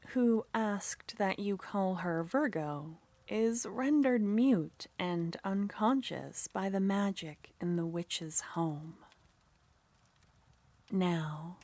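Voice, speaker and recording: Soft-spoken woman reading an asmr story intro, really slow and calm. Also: medium pitch, no room sound. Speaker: ASMR creator. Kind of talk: scripted narration for ASMR roleplay.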